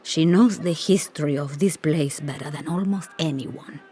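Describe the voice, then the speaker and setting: An actress playing a kindly older village woman in a video game cutscene, speaking gently and with wistful amusement. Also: low voice, normal speed, faint music behind, lightly acted. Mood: welcoming.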